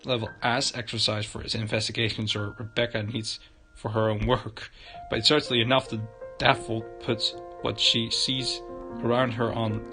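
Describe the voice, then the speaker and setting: Guy streamer reading out visual novel narration, trips over words, music really loud behind him. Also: mid-range voice. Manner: halting reading with stumbles. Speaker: livestreamer. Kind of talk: reading game narration aloud.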